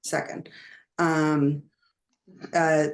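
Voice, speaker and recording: A woman's voice: low-pitched, flat delivery, drawn-out words. A mature woman talking casually on a group video call. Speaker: long-time volunteer mentor. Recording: echoey room over video call.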